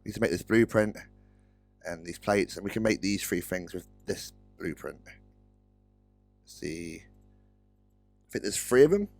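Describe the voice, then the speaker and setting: A British man with a slightly croaky voice, rambling casually through gameplay commentary and correcting himself as he goes. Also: deep voice, takes it slowly, pauses often, a little mellow, no room sound. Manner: rambling, self-correcting, chatty. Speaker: Let's Play host. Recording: dry close-mic recording with faint music.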